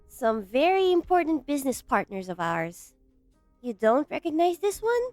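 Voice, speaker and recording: High, animated woman playing a scheming director. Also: no echo, soft background music. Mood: breezy, bluffing. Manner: expressive, brisk. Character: brisk, scheming female research director. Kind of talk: fan dub game story acting.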